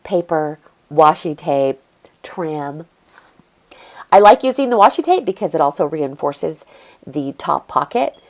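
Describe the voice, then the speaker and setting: A middle-aged woman, a bit congested, chatting easily through a step-by-step craft tutorial as she works. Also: sits low. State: congested from allergies. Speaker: craft video host.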